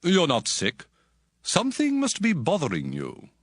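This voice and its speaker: Regal king with wildly swooping pitch. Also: huge pitch swings, unhurried, dry recording, studio quality, heavily acted. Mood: probing, concerned. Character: ancient male Persian king, inquiring, regal. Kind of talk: dramatized audio Bible acting.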